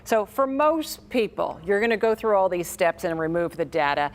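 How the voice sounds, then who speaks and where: A woman's voice: mid-range voice, big pitch swings, normal speed. An American woman delivers consumer news as a television reporter. She moves between scripted report and studio explanation, addressing viewers in a broadcast style.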